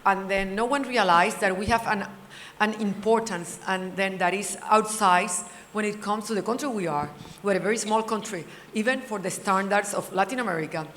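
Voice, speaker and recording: A middle-aged woman with a Cuban Spanish accent speaks on a panel in a long, ringing hall. There is some faint noise around her. She tells things in an animated, conversational way, at a middling pitch. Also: normal speed. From Cuba. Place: large indoor hall at a summit.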